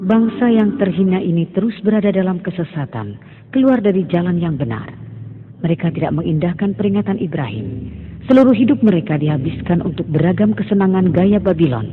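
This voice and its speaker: A man's voice: high-pitched, lively intonation, brisk, narrow, tinny audio. A man giving solemn, grave scripted narration for a dubbed cartoon.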